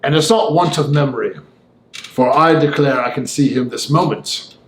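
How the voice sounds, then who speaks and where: A man voicing an opinionated young gentleman in an audiobook, talking in a formal, emphatic, discursive way. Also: mid-range voice, moderate pace, roomy sound, natural voice. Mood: baffled distaste. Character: opinionated, talkative young Victorian gentleman, Mister Enfield. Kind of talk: audiobook character voice.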